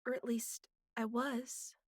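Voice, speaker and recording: A woman acts out a young female captive who is bratty on top and fragile underneath. Her high voice moves very slowly and haltingly, slipping between pouting and soft pleading. It is an intimate audio drama, recorded close and dry. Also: flat delivery, no echo. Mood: soft, content. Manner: halting, pleading, pouty, soft breathy asides. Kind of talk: intimate audio roleplay addressed to the listener.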